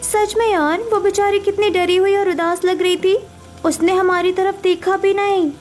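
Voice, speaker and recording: Woman as a very high-voiced young bride. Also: little pitch movement, normal speed, a little mellow, soft background music. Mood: worried. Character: concerned, kindly young newlywed Indian daughter-in-law. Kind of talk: cartoon family drama voice acting.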